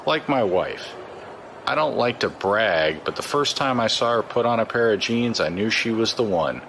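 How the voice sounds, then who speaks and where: Male actor as corrupt security chief, audio drama, swaggering, colloquial, lively swooping intonation, faint background noise. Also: on the low side, moderate pace. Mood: chatty, friendly. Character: cocky, folksy, corrupt planetary security chief. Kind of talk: science fiction audio drama acting.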